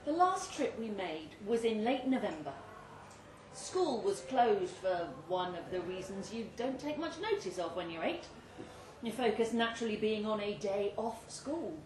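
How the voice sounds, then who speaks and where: A woman's voice: medium pitch, sing-song, measured pace. British woman reading a story, roomy echo. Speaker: story reader. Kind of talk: literary short story read aloud at a live event. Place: indoor live reading event venue. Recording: roomy live venue with faint background noise.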